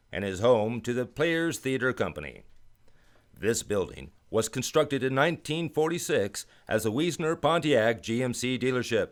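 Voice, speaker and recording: A man announces a radio hour in an old-time style. His voice sits at a middle pitch. The delivery is scripted and theatrical, with grand, flowery phrasing. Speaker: radio show host and announcer. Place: radio studio.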